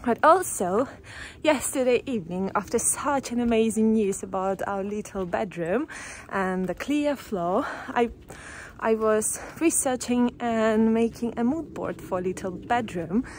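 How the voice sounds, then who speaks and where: Slow-talking young woman walking outdoors. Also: on the high side, expressive, a little hiss or hum. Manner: chatty, breaks into frequent laughs. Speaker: renovation vlogger. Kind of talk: casual walking vlog. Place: outdoors on a quiet village street. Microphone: phone held at arm's length.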